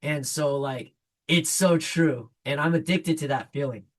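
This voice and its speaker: A man in his late thirties who grew up in China talks earnestly and reflectively. His voice sits a bit high for a man, and he often stops to restart a thought. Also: a little slow. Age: 30s. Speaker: band member. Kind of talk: casual interview chat.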